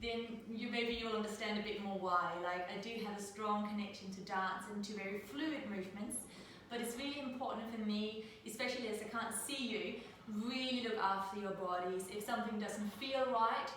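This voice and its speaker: A middle-aged woman from Denmark leading a guided yoga class over a live video call, speaking in a soft, soothing way with slow, drawn-out cues. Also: medium pitch. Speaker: yoga teacher. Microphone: laptop mic at a distance. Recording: very echoey room, distant mic, faint noise.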